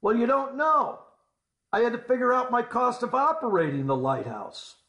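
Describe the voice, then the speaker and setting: A man with a fairly high voice narrates a scripted story in the first person. He speaks deliberately, with folksy asides put to the listener. The recording is roomy, with clear echo around his words. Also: expressive, lo-fi sound. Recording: roomy, echoing voice-over.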